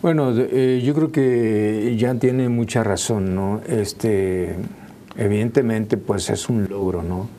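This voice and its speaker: A man's voice: fairly low pitch, slow pace, dark tone, slight background noise. A man with a Mexican accent, a correspondent speaking as a panel guest, hesitant and often adding tag questions. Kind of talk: spoken panel commentary. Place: TV studio.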